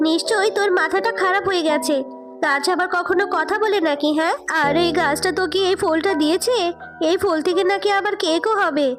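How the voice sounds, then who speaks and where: A woman's voice: very high voice, a little fast, over music. A woman playing a stern, suspicious mother in a children's cartoon story. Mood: scolding.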